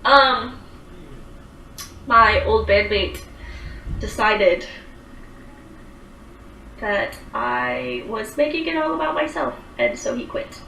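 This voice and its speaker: A woman's voice: mid-range voice, big pitch swings, takes it slowly, pauses often, bright, forceful tone, echoey room, far from the mic, a little hiss or hum, low-quality recording. A woman in her twenties chatting casually on a livestream, rambling with lots of fillers as she answers viewer comments. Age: 20s.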